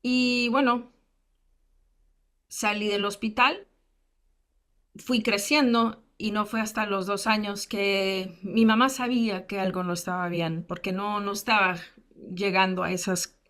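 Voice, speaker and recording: A woman's voice: medium pitch, steady tone, measured pace, a bit bright. Woman in her thirties sharing her story. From United States. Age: 30s. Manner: candid, self-deprecating humor, many fillers. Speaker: guest speaker. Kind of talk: personal testimony in a lecture.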